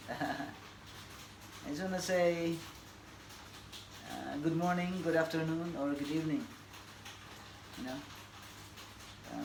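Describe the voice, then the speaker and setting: A Filipino man in his forties, whose first language is Bisaya, speaks slowly and haltingly, filling his pauses with uhs. His voice has a gravelly rasp to it. The recording sounds echoey, with clear background noise. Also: mid-range voice. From Philippines. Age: 40s. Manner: halting, many fillers, laughs often. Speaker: tennis coach. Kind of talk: casual self-introduction to camera.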